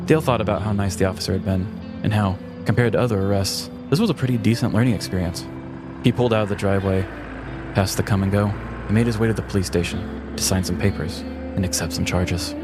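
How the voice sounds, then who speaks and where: Low-pitched American man over music. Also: fairly even intonation, quick pace. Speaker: podcast co-host. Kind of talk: scripted true crime narration and casual banter.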